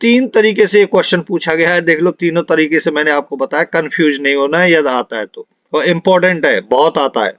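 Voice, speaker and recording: A man's voice: fairly high pitch, brisk, hardly any pauses, fairly bright tone, dry recording. A man teaching an online exam revision class, reading out quiz questions and repeating the answers for emphasis. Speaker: physics teacher for police exam prep. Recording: dull lo-fi, dry close sound.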